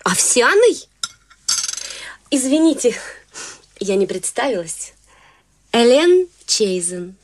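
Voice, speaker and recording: A woman's voice: fairly high pitch, sing-song, takes it slowly, pauses often, exaggerated voice. An actress playing a prim, proper middle-aged society mother who speaks politely, formally and with some hesitation in a radio play. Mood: courteous. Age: middle-aged (figure).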